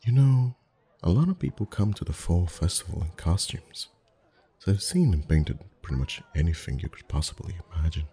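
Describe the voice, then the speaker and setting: A man playing a friendly, chatty, gently flirtatious festival face painter in a soft-spoken ASMR roleplay, with a gentle, rambling manner. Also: low-pitched, big pitch swings, normal speed, slightly rough, heavily acted. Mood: warm, attentive. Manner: gentle, rambling, softly chuckling. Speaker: ASMR voice actor.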